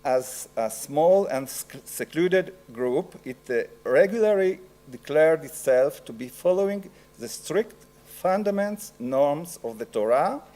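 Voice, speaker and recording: A middle-aged man with an Italian accent giving an academic lecture, slow and halting with frequent pauses. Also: on the high side, expressive, dark tone, low-quality recording.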